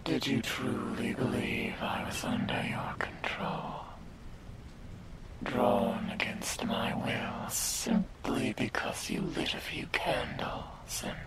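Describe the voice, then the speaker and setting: Woman acting a slow, whispering, sinister demon girl. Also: rumbling low, exaggerated voice. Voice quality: breathy whisper, mostly unvoiced. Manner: slow, mocking, condescending, theatrical delivery. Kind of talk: whispered ASMR roleplay. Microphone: close binaural microphone.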